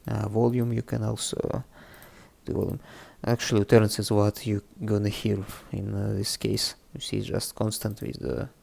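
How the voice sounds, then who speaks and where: A man presenting a casual, unscripted coding tutorial, thinking aloud haltingly in non-native English. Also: on the low side, creaky, darkish tone. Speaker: presenter of a programming tutorial series. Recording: faint background music under the voice. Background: faint music.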